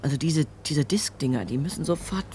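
Gravelly, animated, brusque shopkeeper woman. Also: low-pitched, fairly quick, a little hiss or hum. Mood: flustered. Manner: sardonic, colloquial, flustered. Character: brusque, wry shopkeeper woman, nervy and self-protective. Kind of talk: German crime radio drama acting.

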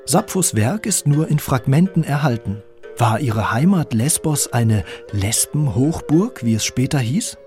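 A man with a slightly husky voice narrating a scripted radio feature in an articulate, clearly structured way. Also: mid-range voice, animated, unhurried, darkish tone, soft background music. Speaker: radio documentary narrator and reporter. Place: dry studio.

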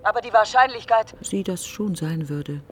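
An actress playing a grieving, fearful woman in a literary radio drama monologue, speaking in halting, deliberate fragments with a breathy, often nearly whispered voice. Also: mid-range voice, animated, fairly bright tone, slight background noise, lightly acted. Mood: brooding grief. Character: grieving, fearful, brooding woman mourning her best friend.

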